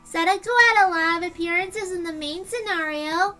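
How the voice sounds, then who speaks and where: A woman voicing a small, excitable, mischievous girl from an anime visual novel, squeaky and exclamatory. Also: normal speed. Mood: cocky. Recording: music clearly behind the voice.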